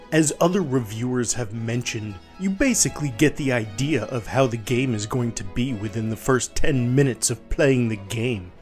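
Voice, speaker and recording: Theatrical man reading over music. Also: medium pitch. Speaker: narrator. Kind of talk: dramatic reading of negative game reviews. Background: background music.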